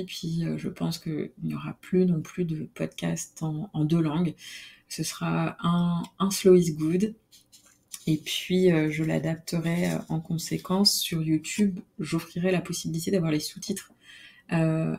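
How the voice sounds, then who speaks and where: A woman hosting her own podcast alone, talking in a relaxed, reflective way with many fillers and restarts. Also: deep voice, creaky. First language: French. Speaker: podcast host. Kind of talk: casual solo podcast monologue.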